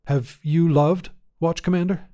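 A man's voice: fairly high pitch, fairly even intonation, measured pace, warm tone, pitched above the performer's own voice, natural voice. A man voicing a respectful, lovelorn young station watchman in a science fiction story, with a hesitant, deferential manner. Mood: subdued, respectful. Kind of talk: acted dialogue in science fiction story reading.

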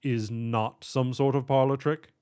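A man acts out a male bank manager with an ordinary middle pitch. He is blunt and wary, speaking with open disbelief. The style is that of a fantasy storybook. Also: steady tone, a little slow, dark tone, clear, resonant tone, lightly acted. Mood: incredulous. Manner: blunt, incredulous.

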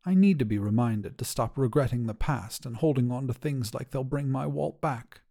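Man voicing a tender elderly widow, mellow. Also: mid-range voice, normal speed, gravelly. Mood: wistful, grateful. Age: older. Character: elderly widow, tender, reflective, resolute grandmother. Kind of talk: audio short-story dialogue.

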